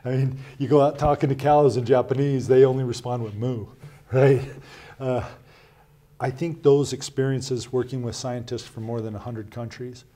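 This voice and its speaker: A man's voice: mid-range voice, fairly even intonation, mellow. A man in his fifties from Wyoming, a Senate candidate answering interview questions in a small TV studio, earnest and emphatic, often tagging "right?" onto his points. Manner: earnest, measured, emphatic with rhetorical "right?" asides. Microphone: lapel.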